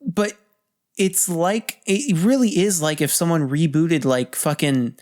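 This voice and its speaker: Podcast co-host for casual movie chat: mid-pitched voice, rambles, circles back on points, swears freely. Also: unhurried, darkish tone. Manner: rambling, repetitive, heavily sweary.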